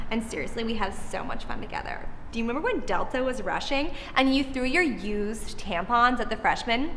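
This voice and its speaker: Ditzy sorority girl, vapid upspeak, high and bright, by a woman. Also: big-room sound, a little hiss or hum.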